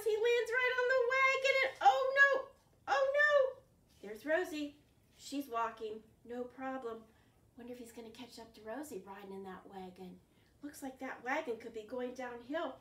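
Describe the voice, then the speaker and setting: An older woman hosting an interactive picture-book storytime for young children, expressive and full of questions to her listeners. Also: sits high. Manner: animated, questioning, exaggerated gasps and reactions. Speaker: children's librarian, storytime host. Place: living room at home, indoors. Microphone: camera a few feet away. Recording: roomy, distant from the microphone.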